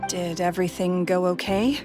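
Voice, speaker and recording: A woman's voice: on the low side, steady tone, normal speed, loud music behind the voice, close to an everyday voice. An actress playing a timid, emotional young woman in a video game, hesitant and earnest. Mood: relieved.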